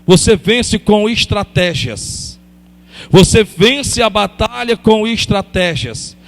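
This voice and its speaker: A man's voice: high voice, slow. A pastor in his fifties preaching a sermon, emphatic and repetitive, calling out to his congregation. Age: 50s. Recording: long hall reverb.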